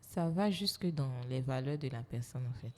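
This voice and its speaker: A woman, a human resources specialist, speaking as an interview guest in a measured, thoughtful way, laying out her points calmly. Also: rumbling low, slight background noise. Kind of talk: casual interview. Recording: long hall reverb.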